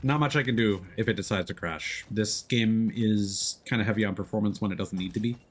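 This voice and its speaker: A man doing casual live gaming commentary and chatting with viewers, excitable and quick to exclaim when surprised. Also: fairly low pitch. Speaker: video game streamer.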